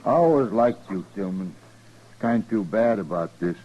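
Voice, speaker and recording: A low-pitched American rancher of the old West, grim yet neighborly. He talks in an unhurried, folksy rural drawl with a matter-of-fact firmness, in the style of a 1950s radio western. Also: expressive, mellow, lo-fi sound. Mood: grimly calm.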